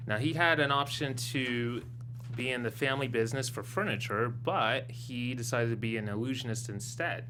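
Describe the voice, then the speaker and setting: A man's voice: mid-range voice, normal speed. A man co-hosting a TV recap show, steering the chat and speaking straight to viewers. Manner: steers the talk and addresses viewers directly. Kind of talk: casual panel chat. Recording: humming background noise.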